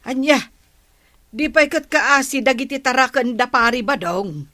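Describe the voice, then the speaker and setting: A woman's voice: high voice, lively intonation, a little slow, pushed and bright, exaggerated voice. A woman with an Ilocano accent acting a kind village housewife who chides others in a radio comedy drama. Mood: scolding.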